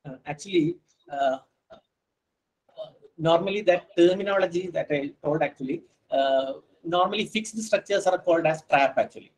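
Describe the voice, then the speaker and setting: A man in his thirties, a scientist giving an online lecture and answering questions, speaking haltingly with many fillers over a headset on a video call. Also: fairly high pitch, low-quality recording. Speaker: fisheries scientist giving a webinar talk.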